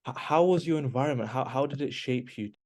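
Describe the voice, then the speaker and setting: A man hosting a podcast interview, asking casual questions in a slightly hesitant, halting way. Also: mid-range voice, darkish tone. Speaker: podcast host.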